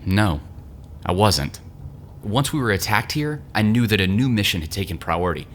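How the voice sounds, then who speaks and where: A man's voice: on the low side, lively intonation, normal speed, fairly bright tone, a little hiss or hum. A man playing a brash, cagey young agent in an audio drama, self-assured and matter-of-fact. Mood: earnest, explaining.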